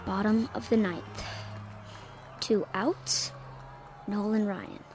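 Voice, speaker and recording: A woman's voice: mid-range voice, slow, a little mellow, soft background music, lightly acted. A woman playing an eager, determined young boy in an audio drama, given to mock sports commentary. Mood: hushed, suspenseful announcing.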